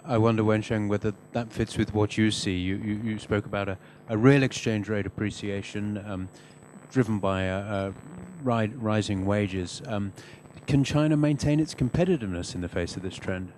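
A man moderating a conference panel, posing questions in a measured way with pauses mid-phrase. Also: lowish voice, a little mellow. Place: conference stage, indoors. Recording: faintly noisy conference hall microphone.

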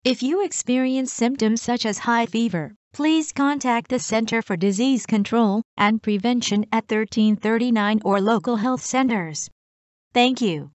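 A woman reading scripted subway announcements as a train announcer, in a formal and deliberate manner. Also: a little high, no room sound, lo-fi sound.

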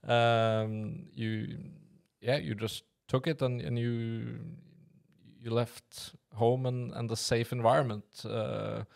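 A man hosting a podcast interview, talking in a casual, halting way with many restarts. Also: mid-range voice, level tone, gravelly. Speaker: podcast host. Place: glass-walled office studio. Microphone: desk podcast mic with headphones.

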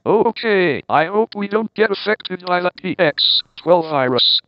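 A man's voice: high-pitched, expressive, measured pace, dry recording, dull audio. A man playing a clueless, uncertain bystander in an amateur scripted skit. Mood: uncertain, worried.

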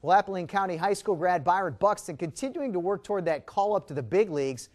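A middle-aged man anchoring TV sports, reading highlights in a punchy, emphatic announcer style. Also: animated, quick pace, darkish tone. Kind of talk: scripted sports highlights narration. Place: TV news studio.

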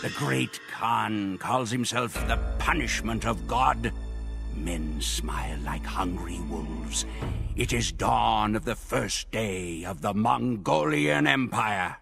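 Game cutscene narrator: weathered Mongol storyteller, deepish creaky voice, portentous and measured. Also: male voice, over music. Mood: awed, hushed. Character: solemn Mongol chronicler, a weathered steppe tribesman telling his people's epic. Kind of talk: scripted dramatic storytelling narration.

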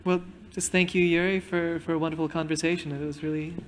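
A man moderating a festival Q&A, brisk and courteous as he wraps up. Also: a little high, flat delivery, long reverb tail, slight background noise.

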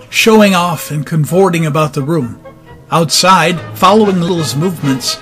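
A man narrating a dramatized audiobook in a scripted storytelling style. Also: on the high side. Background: music.

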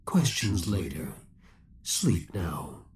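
A man's voice: sits low, sing-song, drawn-out words, background noise, full character voice. A man playing an obsessive young villain in a roleplay audio drama, theatrical and formal, taunting with cold affection. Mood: possessive, controlled. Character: obsessive young male villain, possessive, menacing, coldly affectionate.

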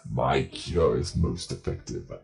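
A man voicing a courteous, eerie plague doctor entity with a formal, measured, calmly zealous manner, in an audio drama. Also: extremely low pitch, creaky, soft background music, voice pushed down, exaggerated voice.

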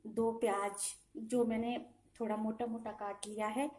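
A woman calmly talking through a home cooking recipe step by step, in a patient, instructive way. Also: fairly high pitch, a little slow. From Himachal Pradesh, India. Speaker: home cooking channel host. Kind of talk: step-by-step cooking demonstration voice-over. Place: home kitchen.

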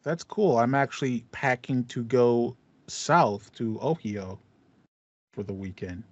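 An American man co-hosts a casual gaming chat in a playful, slangy manner. His voice sits at a middle pitch. The home recording is very dry and slightly muffled. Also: slow pace, no echo. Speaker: podcast co-host. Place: small home room with acoustic foam, indoors.

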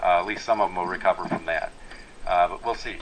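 A middle-aged man from Wisconsin, a tree farm owner, giving an unhurried, plainspoken welcome talk to a tour group outdoors, with many fillers and restarts. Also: low voice, fairly even intonation, slight background noise, rough recording. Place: outdoors in a forest clearing. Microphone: handheld microphone.

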